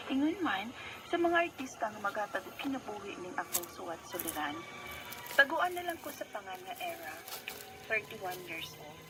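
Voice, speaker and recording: A woman's voice: mid-range voice, natural voice, low-quality recording. A woman with a Cebuano Filipino accent acting a worried wife and mother in her thirties, telling her story in a radio drama. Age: 30s. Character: Era, worried thirty-one-year-old wife and mother. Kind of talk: Cebuano radio drama letter narration and dialogue.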